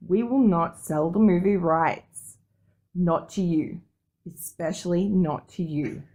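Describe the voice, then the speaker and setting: A woman's voice: lowish voice, darkish tone. A woman co-hosting a geek podcast, chatting in an informal and opinionated way. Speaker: podcast co-host. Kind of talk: casual geek podcast chat.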